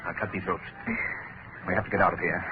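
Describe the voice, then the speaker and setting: An actor playing a righteous gentleman crime-fighter with a solemn, oratorical manner in 1930s radio drama. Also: low-pitched, fairly even intonation, fast talker, background noise, close to an everyday voice. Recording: tinny 1930s radio recording with music.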